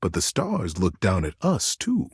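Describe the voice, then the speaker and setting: A man voicing a gentle, earnest male teddy bear in a video game, telling bedtime stories in a soothing, expressive way with dramatic pauses. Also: deep voice, slightly rough, no room sound, studio quality, heavily acted. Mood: earnest narrating.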